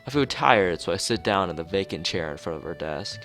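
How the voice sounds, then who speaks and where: Man, low voice, lively swooping intonation, game read-aloud, casual rambling asides, stumbles over words, faint music. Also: brisk. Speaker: streamer playing and reading a visual novel.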